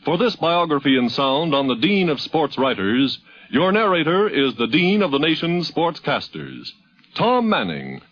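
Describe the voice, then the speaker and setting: A man's voice: a little high, very animated, unhurried, dull audio. A man announcing a 1950s radio program in a formal, declamatory, scripted style. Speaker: broadcast announcer. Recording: 1950s radio broadcast, dulled, loud music. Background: loud music.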